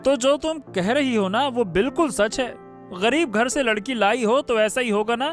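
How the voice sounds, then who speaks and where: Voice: mid-range voice, normal speed, natural voice. A performer voicing a sarcastic young man of a rich family in a cartoon story, who speaks in a taunting way. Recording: soft background music.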